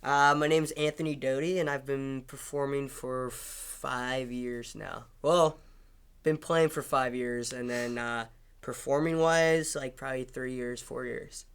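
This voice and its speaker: A man's voice: medium pitch, fairly even intonation, a little slow, a bit bright, clean tone. A young man in his twenties, a musician guest from Illinois, giving easygoing answers with short replies and fillers. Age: 20s. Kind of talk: casual interview answers.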